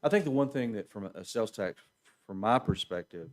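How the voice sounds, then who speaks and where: Very low voice, polite official, hesitant answers at a council meeting. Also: male voice, expressive, a little fast. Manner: polite, hesitant, many fillers and restarts.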